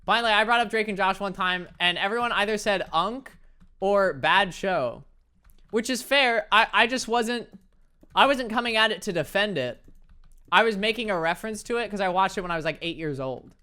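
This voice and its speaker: A young man with a light, high-pitched voice, chatting casually and playfully with his viewers while he plays a game, laughing often and joking at his own expense. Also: lively intonation, moderate pace, pushed and bright, smooth. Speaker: streamer. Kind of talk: casual chat reading viewer messages while gaming.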